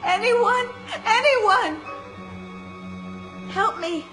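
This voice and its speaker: A high-pitched, anxious woman in a radio drama, lost and trapped in a store. She speaks slowly and haltingly, repeating herself and pleading, with music playing behind her. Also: full character voice. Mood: desperate. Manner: breathless, pleading, halting and repetitive. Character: frightened woman shopper trapped in a closed store. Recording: background music under the voice.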